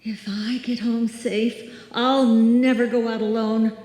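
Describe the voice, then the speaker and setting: A woman's voice: a little high, flat delivery, slow pace, darkish tone. A woman acting a frightened woman alone at night, talking to herself in a live old-time radio suspense play. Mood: desperate pleading. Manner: breathless pleading and self-soothing, theatrical. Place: live stage performance in a hall. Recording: echoey live hall, music behind.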